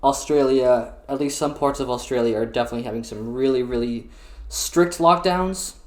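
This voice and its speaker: A man's voice: medium pitch, moderate pace. A young man hosting a casual livestream chat from home, friendly and rambling with many fillers. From New York City. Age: young adult. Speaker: streamer host. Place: small room at home. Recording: roomy home echo.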